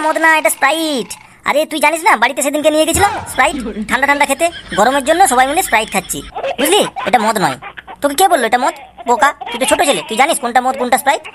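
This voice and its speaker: A woman voicing an exasperated, scheming father in a comic cartoon, coaxing and blustering as he repeats himself. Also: sits high. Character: exasperated, scheming father caught drinking with friends. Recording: clear background noise.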